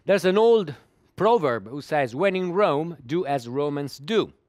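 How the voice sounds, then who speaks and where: A middle-aged man speaks English at a developer conference in a fairly high voice. He often stammers over repeated words as he searches for the next one. The sound is dry and close. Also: lively intonation, measured pace, a little mellow, no echo. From Italy. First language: Italian. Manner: repeats words while searching for them, with small laughs. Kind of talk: technical conference talk.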